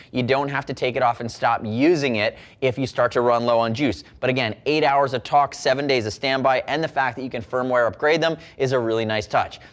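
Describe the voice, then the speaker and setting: Fast-talking man presenting a product review. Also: mid-range voice. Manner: salesman-like, fluent, casual and direct. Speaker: product reviewer, show host.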